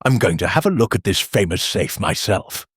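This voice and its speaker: A male narrator puts on a gruff military colonel for an audiobook. He blusters bluntly in a husky voice that leaps about in pitch, recorded dry. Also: big pitch swings, normal speed, no echo, heavily acted. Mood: indignant. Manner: barking, blunt, indignant.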